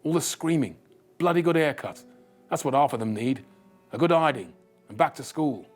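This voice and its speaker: A man's voice: a little high, animated, moderate pace, soft background music, voice pushed up. A man reading a novel and voicing a stuffy older British man who complains bluntly and indignantly. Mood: irritated.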